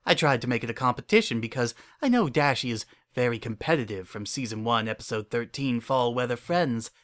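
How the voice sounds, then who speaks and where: A man's voice: medium pitch, animated, a little fast, fairly bright tone, lightly acted. A man plays an unhinged narrator telling his own horror story in the first person. He is obsessive and paranoid, with an earnest, oddly childlike way of putting things and a brooding undertone. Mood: subdued, uneasy. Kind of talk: first-person dramatic horror fan fiction reading.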